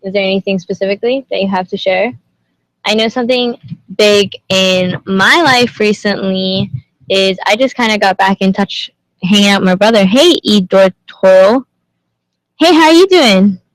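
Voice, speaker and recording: A woman's voice: medium pitch, moderate pace, no echo, rough recording. Chatty young woman hosting a livestream. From Indonesia. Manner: chatty, greets viewers by username, asks many questions. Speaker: livestream host. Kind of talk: casual livestream chat with viewers.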